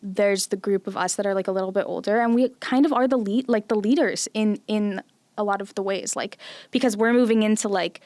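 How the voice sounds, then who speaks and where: A woman's voice: mid-range voice, brisk, dry recording. A woman in her late twenties, a visual artist guest on a podcast, talking casually with lots of "like". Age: 20s. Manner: chatty, heavy use of "like", animated. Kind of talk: casual interview chat.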